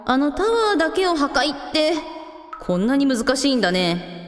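A woman's voice: high voice, sing-song, normal speed. A woman playing a brash, casual older sister in a radio drama, with blunt, tomboyish phrasing. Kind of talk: Japanese radio drama acting. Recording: long reverb, music underneath.

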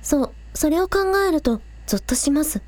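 A woman's voice: sits high, animated, a little fast, warm tone, no echo. A woman playing a spirited, earnest young village idol, polite with superiors and breezy with friends, in a radio drama.

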